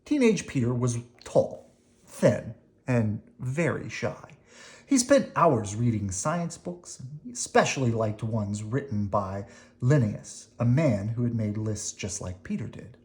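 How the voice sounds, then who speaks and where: Children's read-aloud host, expressive storybook delivery, unhurried pace, swooping pitch, dramatic emphasis, explanatory asides. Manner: dramatic emphasis, pausing between phrases.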